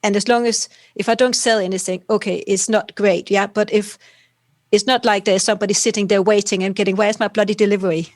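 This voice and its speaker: A woman's voice: medium pitch, fairly even intonation, fairly quick, dry recording. Danish-raised woman, dry close sound. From Denmark. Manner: rambling, loose, ends points with tag questions. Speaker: potter guest. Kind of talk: casual interview chat.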